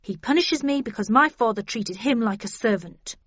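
Woman voicing a sullen, husky young man. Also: a little high, animated, normal speed, no echo, lo-fi sound. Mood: sullen, resentful. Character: sullen, resentful male youth, rough-spoken.

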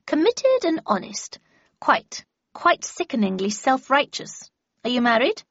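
A woman's voice: high-pitched, very animated, unhurried, a bit bright, no room sound, dull audio, pitched above the performer's own voice, heavily acted. A woman voicing an imperious, irritable older woman mentor with a brusque, scornful, commanding manner in an audiobook.

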